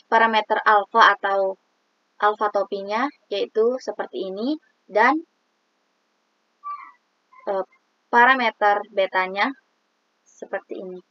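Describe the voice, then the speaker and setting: A woman's voice: mid-range voice, dry recording, highs cut off. A woman explaining a statistics exercise, reading formulas aloud step by step with many hesitant fillers. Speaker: student presenting a statistics exercise. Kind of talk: explaining math on screen.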